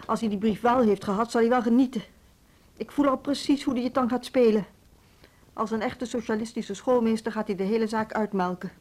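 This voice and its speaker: A woman's voice: a little high, steady tone, a little fast, darkish tone, close to an everyday voice. An actress playing a proud, suspicious woman in authority in a naturalistic radio drama, speaking in an articulate, pointed way. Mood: indignant.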